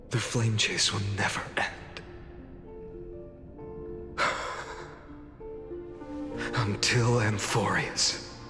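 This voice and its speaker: An actor voicing a solemn, self-sacrificing male warrior in a fantasy game, with a grave, hushed and determined delivery. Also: fairly low pitch. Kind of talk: dramatic fantasy game voice acting. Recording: long reverb under clear background music.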